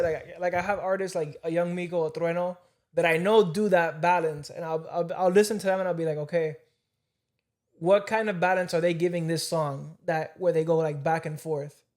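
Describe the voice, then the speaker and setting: Relaxed male host with a lighter voice, offering casual advice. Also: a little high, moderate pace. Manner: laid-back, advice-giving. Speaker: podcast host. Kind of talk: casual podcast chat.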